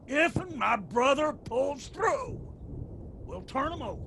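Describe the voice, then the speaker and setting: An actor playing a vengeful, stubborn mountain patriarch in a radio drama, with an Appalachian accent and a defiant, commanding manner. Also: male voice, very high voice, sing-song, slow pace, creaky, sharp tone, a little hiss or hum, exaggerated voice. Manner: defiant, commanding, rustic speech.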